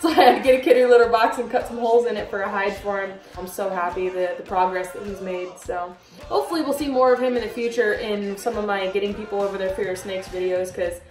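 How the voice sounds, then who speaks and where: A woman's voice: fast, a little mellow, recorded from a distance. Young woman, chatty vlog, gushing, roomy echo, slightly off-mic, faint music behind. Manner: bubbly, gushing over her snakes, squeals with high baby talk. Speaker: reptile keeper vlogger. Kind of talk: casual vlog chat. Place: small bedroom reptile room indoors. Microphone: camera a little way off.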